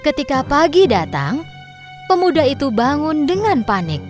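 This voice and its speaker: Perempuan pendongeng yang ekspresif. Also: female voice, high-pitched, normal speed, faint music behind. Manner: expressive, lilting storytelling. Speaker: narrator. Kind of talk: scripted storybook narration.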